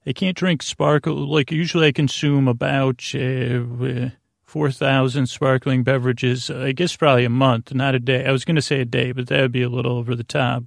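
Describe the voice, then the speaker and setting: Creaky, meandering male sleep-podcast host. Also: mid-range voice, steady tone, dry recording, clean studio sound. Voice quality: creaky, lulling voice. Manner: meandering, halting, stammering, self-interrupting. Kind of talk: rambling bedtime sleep-podcast monologue.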